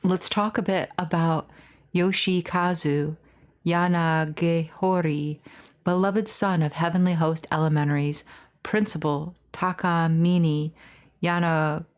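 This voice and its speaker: A woman's voice: on the low side, fairly even intonation, unhurried. A woman doing let's play narration, reading on-screen text theatrically with casual asides. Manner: reads dialogue theatrically between casual asides. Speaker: streamer reading game dialogue aloud.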